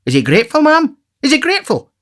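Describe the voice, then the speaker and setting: Guy doing a pompous loud beadle, lecturing, full of himself, audiobook. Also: very high-pitched, wildly swooping pitch, quick pace, voice pushed up, heavily acted. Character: pompous self-important middle-aged male parish beadle. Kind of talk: audiobook character voice.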